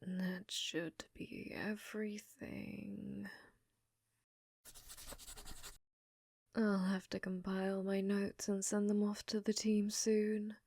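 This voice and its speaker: A woman voicing an unhinged, infatuated scientist in a hushed, breathy ASMR roleplay, clinical yet flirtatious and often whispering. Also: medium pitch, monotone, slow, few pauses. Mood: fascinated, lingering. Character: unhinged, infatuated female scientist studying a captive alien.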